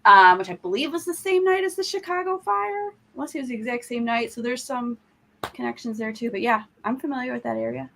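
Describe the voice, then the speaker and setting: A woman's voice: a little high, expressive, brisk, gravelly, fairly bright tone. A woman chatting as a guest on a video call, talkative and thinking aloud with fillers. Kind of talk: casual chat.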